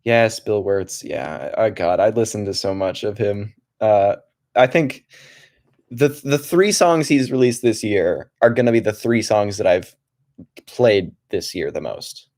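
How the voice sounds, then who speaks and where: A young man hosting a relaxed livestream from his home desk, talking softly in a calm, rambling way with many restarts. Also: fairly low pitch, measured pace. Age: young adult. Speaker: livestream host.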